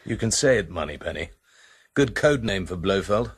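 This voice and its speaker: A man's voice: deep voice, expressive, moderate pace, slightly rough. A man with a British accent acting in a radio drama as a cool, terse secret agent, clipped and understated. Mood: wry.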